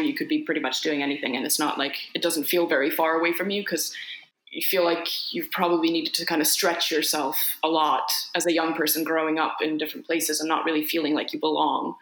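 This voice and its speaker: A woman's voice: low-pitched, a bit bright, roomy sound, low-quality recording. A woman from Finland, an actor, chatting casually in an interview, rambling with many restarts and fillers. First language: Finnish. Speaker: actor guest.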